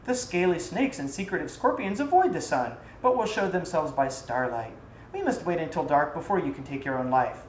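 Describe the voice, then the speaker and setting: A woman's voice: sits low, animated, normal speed, voice pushed down. Storybook role: loyal freed slave woman, earnest, formal, persuasive, done by a female reader; echoey room. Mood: calm, formal. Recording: echoey room, faint background noise.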